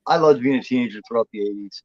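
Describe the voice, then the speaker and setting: A man's voice: mid-range voice, racing, no room sound, muffled recording. A man in his forties chatting as co-host, blunt and profane, rambling with lots of restarts. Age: 40s. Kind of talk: casual chat.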